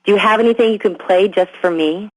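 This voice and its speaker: A woman's voice: medium pitch, quick pace, no echo, lightly acted, rough recording. An actress playing an adoring female fan who calls a classical radio station, sweetly coaxing for a request. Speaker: radio caller.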